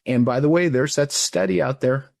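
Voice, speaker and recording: A man in his fifties talking casually as an interview guest, blunt and informal, often checking his points with a quick "right?". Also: medium pitch, gravelly, no echo, clean studio sound. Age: 50s. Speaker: interview guest, front desk trainer. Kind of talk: casual, animated opinion talk.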